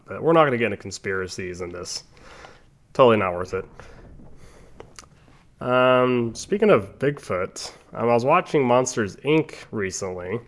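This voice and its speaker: A young man casually commenting on his game playthrough as host, rambling through chatty side stories with lots of ums and restarts while calmly guiding the viewer. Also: fairly low pitch. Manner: relaxed, laid-back guiding of the viewer. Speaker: gaming channel host. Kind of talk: casual let's-play commentary.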